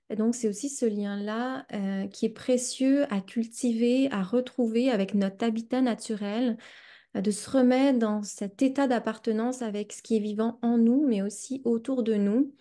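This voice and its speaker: A woman with a Québécois accent hosts a reflective podcast, her voice pitched a little high and mellow in tone. She talks slowly and thoughtfully, circling back over her words with frequent hesitations. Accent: Québécois French. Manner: slow, searching, many hesitations and repeated words. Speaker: podcast host.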